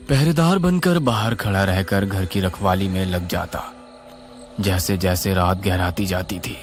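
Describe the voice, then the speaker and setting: A man narrating a scripted horror story in a suspenseful, dramatic, expressive way. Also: lowish voice. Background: music.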